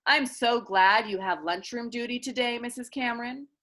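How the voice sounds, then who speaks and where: A woman voicing a smarmy schoolboy teacher's pet, speaking with formal, flattering politeness in a storybook reading. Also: fairly high pitch, moderate pace, close to an everyday voice. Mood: ingratiating.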